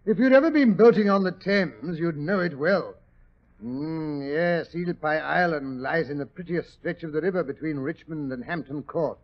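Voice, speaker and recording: A man's voice: a little high, moderate pace, natural voice. An actor playing a genial, stout older British married man who grumbles and loves his comfort, huffing and stammering in 1940s radio style. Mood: genial, reminiscing. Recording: dull, muffled old radio recording.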